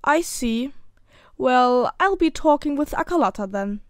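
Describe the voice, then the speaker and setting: A woman's voice: high voice, lively intonation, a little slow, no room sound. Woman acting earnest fiancée, close dry sound. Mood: defensive, earnest. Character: loyal, earnest young fiancée, protective and sorrowful. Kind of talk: audio drama acting.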